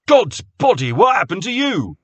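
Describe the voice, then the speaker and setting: A man voicing a rough, loud village man. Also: sits high, very animated, normal speed, no room sound, pitched above the performer's own voice, full character voice. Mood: alarmed.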